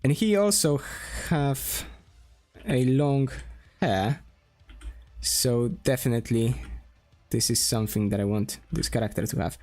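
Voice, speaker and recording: A man with a Polish accent talks slowly as he draws, thinking aloud with many pauses and restarts. His voice sits at an ordinary middle pitch. Quiet music plays faintly behind him. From Poland. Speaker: streamer and game developer. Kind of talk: casual drawing stream talk.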